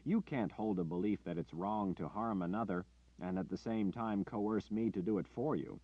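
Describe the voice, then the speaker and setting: A man voicing Richard, a forceful, urgent warrior leader. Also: fairly low pitch, moderate pace, no echo, close to an everyday voice. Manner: forceful, pleading, emphatic. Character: commanding male warrior leader, intense and urgent. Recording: dull dry lo-fi audio.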